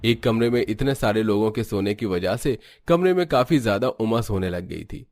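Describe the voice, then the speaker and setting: A man with a low-pitched voice narrates a domestic tale. His delivery is scripted storytelling, presenting scenes of family life as the narrator. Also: expressive, moderate pace, darkish tone, slight background noise.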